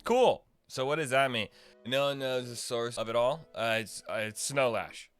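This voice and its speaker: A man's voice: medium pitch, moderate pace, fairly bright tone, smooth. A young man giving casual, informal game guide commentary, rambling and thinking aloud as he plays. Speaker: gaming video creator. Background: faint game music.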